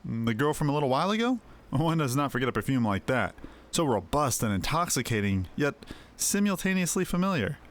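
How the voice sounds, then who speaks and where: Man voicing a gentle, musing blind sage. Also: medium pitch, big pitch swings, lightly acted. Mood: genial, musing. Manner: measured, reflective, poetic. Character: gentle, courteous blind man with keen nose. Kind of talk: hobby voicing of fantasy game dialogue.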